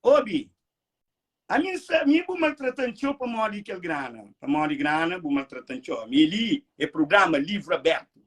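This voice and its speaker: A man's voice: high voice, sing-song, moderate pace, fairly bright tone. A man hosting a vlog, talking in a heated, repetitive way with emphatic outbursts. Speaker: vlog host. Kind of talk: heated casual talk.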